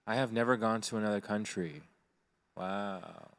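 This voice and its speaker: A man's voice: on the low side, normal speed, clear, resonant tone. A man co-hosting a casual live stream, talking loosely with many fillers and reading viewer comments aloud. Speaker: co-host and co-producer.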